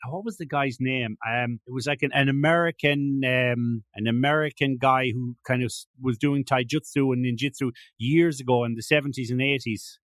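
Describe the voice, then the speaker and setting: A man's voice: mid-range voice, steady tone, moderate pace, hardly any pauses, a bit bright, no echo. A man with an Irish accent hosting a casual interview, rambling with many restarts and fillers. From Ireland. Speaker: podcast host.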